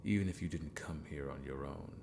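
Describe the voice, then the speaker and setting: A man playing an eccentric, possessive sorcerer mentor in a fantasy ASMR roleplay, half-whispering in a gravelly voice with formal, condescending deliberation. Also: a bass voice, a little fast, very noisy. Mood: firm, reassuring.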